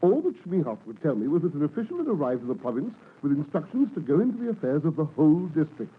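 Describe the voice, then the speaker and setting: An actor playing an anxious, flustered provincial official in a vintage radio comedy, speaking fretfully and emphatically. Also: male voice, fairly high pitch, very animated, quick pace, slightly rough, heavily acted. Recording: muffled vintage radio recording.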